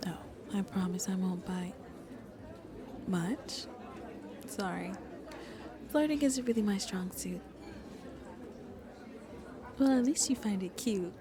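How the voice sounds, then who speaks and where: An actress voices a flirty female vampire on a late-night date, shy yet teasing. Her medium-pitched voice is soft and intimate and often drops to a breathy whisper, in the close style of an ASMR roleplay. A crowd murmurs behind her. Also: animated, slow, pauses often. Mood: playful, tipsy, flirty.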